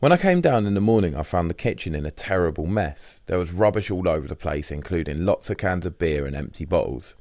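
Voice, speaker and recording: Man, very low bass voice, dry close sound, scripted diary audiobook narration. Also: animated, a little fast, hardly any pauses, darkish tone, no room sound.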